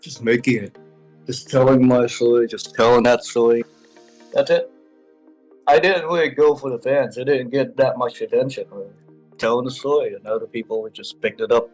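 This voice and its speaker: A man answering casually as an interview guest, halting and repeating short phrases as he goes. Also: medium pitch, steady tone, a little mellow, rough recording. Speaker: interview guest, web series creator. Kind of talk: casual chat. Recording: music playing behind. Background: music.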